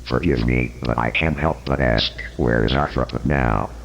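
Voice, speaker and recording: Man acting as gravelly, very deep interrogator. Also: steady tone, moderate pace, a little hiss or hum. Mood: probing. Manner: formal, insistent. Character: persistent, probing male interrogator. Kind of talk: scripted analog horror interview.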